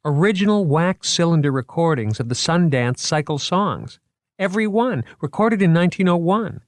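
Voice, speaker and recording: Eager, nervous young curator, voiced by a male reader; pitched up, very animated. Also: dry recording, close to an everyday voice. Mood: enthusiastic. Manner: eager, rapid, stammering when flustered. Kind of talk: audiobook character voice.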